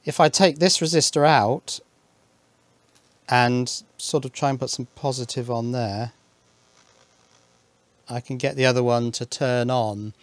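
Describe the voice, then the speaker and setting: Guy doing a casual electronics hobby video, mid pitched voice, kinda mumbling questions to himself while he works. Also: fairly even intonation, measured pace, long pauses, no echo. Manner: musing, self-questioning, muttering asides. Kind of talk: casual thinking-aloud workbench commentary. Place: indoors at a workbench.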